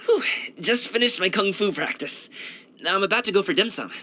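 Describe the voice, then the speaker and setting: Cheerful young kung fu dancer for a game: bright, animated, breezy, casual slang. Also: male voice, high voice, moderate pace, exaggerated voice. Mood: friendly, eager. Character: upbeat young male kung fu street dancer and courier. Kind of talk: video game character voice lines.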